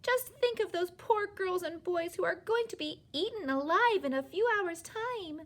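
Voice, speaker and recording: Woman as a high-pitched, feisty storybook girl. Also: big pitch swings, a little slow, husky, heavily acted. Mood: desperate, pleading. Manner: emphatic, impassioned exclamations. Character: feisty, indignant, determined young girl. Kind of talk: children's storybook character reading.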